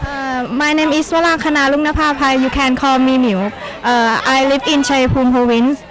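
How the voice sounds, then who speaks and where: Fast-talking young woman, polite and hesitant, interviewed amid a noisy crowd. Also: high voice, fairly even intonation. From Thailand. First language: Thai. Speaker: exchange student. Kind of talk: street interview. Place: outdoor temple event.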